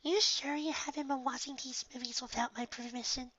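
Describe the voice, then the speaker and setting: A narrator voicing a gentle, timid mare pony who worries over her pet, in a storybook reading. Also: high voice, steady tone, fairly quick, dry recording, dull audio, voice pushed up. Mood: gently suspicious.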